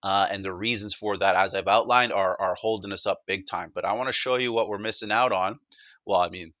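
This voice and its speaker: A man with a Canadian accent and a low, forceful voice gives an informal demo pitch. He talks discursively, stumbling and doubling back on words. The sound is dry and close. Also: fairly even intonation, fairly quick, dry recording. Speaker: presenter of a technology demo. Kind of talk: casual sales presentation, conversational.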